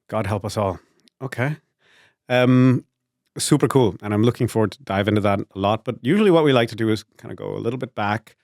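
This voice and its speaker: A man's voice: on the low side, a little fast, a little mellow, dry recording, clean studio sound. A man hosting an interview show, asking curious, conversational questions with hesitant restarts. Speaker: podcast host.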